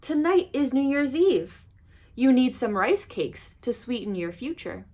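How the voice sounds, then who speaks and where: Woman as a high, urgent young girl. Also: sing-song, unhurried, darkish tone, full character voice. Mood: urgent, persuasive. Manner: crying out, urgent, persuasive. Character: brave, quick-witted young girl bargaining with a monster.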